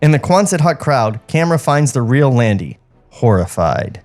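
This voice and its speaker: A man with a medium-pitched voice reads a screenplay's stage directions as a podcast co-host. He slips in sarcastic asides, with faint music behind him. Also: very animated, moderate pace, a little mellow. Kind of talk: script narration with sarcastic asides.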